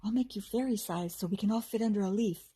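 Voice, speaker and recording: A woman's voice: medium pitch, fast talker, dark tone, breathy edge, natural voice. A woman voicing a tiny, sweet, earnest fairy girl in a children's story. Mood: urgent. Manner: gasping, exclaiming.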